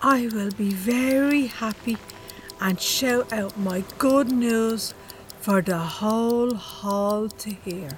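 A woman's voice: medium pitch, slow pace, a little hiss or hum, natural voice. An actress playing an obliging Irish bingo-hall woman in an audio drama, with a simple, compliant manner. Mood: entranced, obedient.

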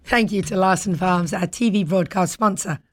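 A British woman hosting a talk show in casual, playful chat, with a husky voice recovering from being lost. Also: lowish voice, normal speed. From Britain. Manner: playful, bantering.

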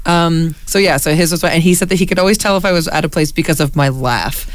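A loud, deep-voiced woman chats in a radio studio, stumbling through fillers and restarts. Her tone is smooth and resonant, and the studio sound is close and dry. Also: fast talker, no room sound. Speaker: community radio station staff. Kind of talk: casual radio conversation.

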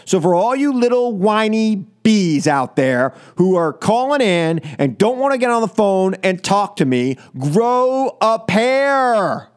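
A high-voiced American man holds forth as a political talk radio host. His pitch leaps around as he drives points home, repeating phrases with combative emphasis. The delivery is an opinionated call-in show monologue. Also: very animated, takes it slowly, hardly any pauses, smooth.

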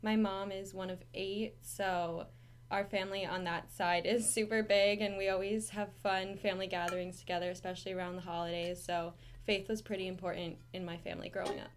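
A twenty-one-year-old woman talks in a friendly, upbeat way while answering interview questions. Her voice sits at an ordinary middle pitch. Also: level tone, brisk, a little hiss or hum.

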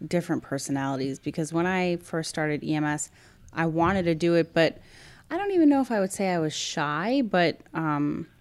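Mulher nova-iorquina de voz um pouco grave, hesitante. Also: female voice, deep voice, lively intonation, normal speed. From Brooklyn, New York. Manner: hesitant, many fillers and restarts. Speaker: EMS worker. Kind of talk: casual podcast conversation.

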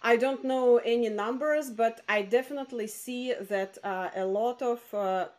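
A woman's voice: a little high, a little slow. A woman from Russia, a native Russian speaker, talking in a casual conversation with many hesitations and a light laugh. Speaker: guest.

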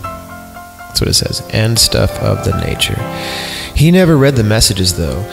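A man with a low, gravelly voice reads a horror story as a comedy podcast host. He is irreverent and casual, stepping out of the narration to comment on it. Music plays behind his voice. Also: huge pitch swings, a little slow. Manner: irreverent, mock-disgusted, often breaks off to comment. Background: background music.

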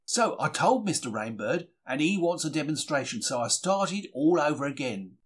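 A man's voice: fairly high pitch, very animated, normal speed, breathy edge. A man reading a humorous diary aloud in a colloquial, characterful storytelling manner. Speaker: audiobook reader.

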